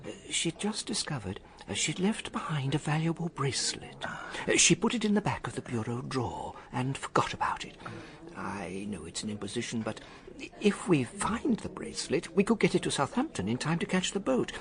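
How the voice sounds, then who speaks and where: An actor playing a discreet, politely insistent hotel manager in a radio drama, formal and apologetic with small hesitations. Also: male voice, medium pitch, very animated, normal speed, fairly bright tone.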